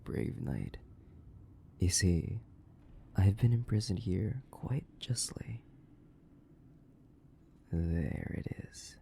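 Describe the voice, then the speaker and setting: A man playing a centuries-old, sadistic vampire in a theatrical horror audio roleplay, condescending and drawling, with a gravelly, breathy voice that slips into whispers. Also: fairly low pitch, darkish tone, heavily acted. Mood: mocking, menacing. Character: sadistic, centuries-old imprisoned male vampire, mocking and bored. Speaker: voice actor.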